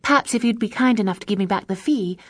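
A woman's voice: mid-range voice, quick pace, no room sound, studio quality, pitched above the performer's own voice, natural voice. A woman playing a spirited young woman. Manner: eager, spirited. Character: lively, proud, poor young woman.

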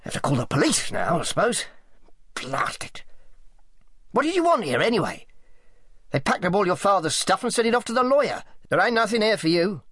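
A man acts out a blustering, stout little shipping boss for an audiobook. The voice is pushed high and swings about as he barks out rough, impatient, irritable orders. The sound is close and dry. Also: sing-song, brisk, long pauses, a bit bright, dry recording, full character voice. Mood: fierce, irritable. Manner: barking, rough colloquial speech, impatient. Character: short stout blustering shipping agent, loud, irritable, uneducated. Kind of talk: audiobook character acting.

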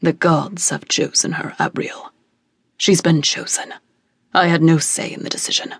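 A woman voicing a stern middle-aged king who speaks in a low, firm, controlled way. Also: steady tone, measured pace, breathy edge, no room sound, clean studio sound, exaggerated voice.

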